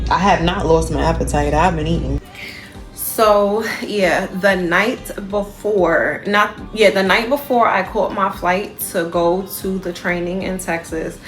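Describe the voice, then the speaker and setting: A woman travel nurse vlogging from a hotel room, talking in a rambling, chatty way full of fillers. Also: mid-range voice. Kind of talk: casual vlog diary. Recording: music playing under the voice.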